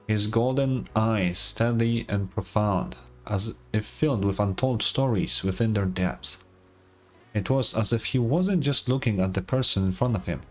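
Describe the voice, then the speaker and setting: A man streaming a game, reading its story narration aloud and adding casual comments. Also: on the low side.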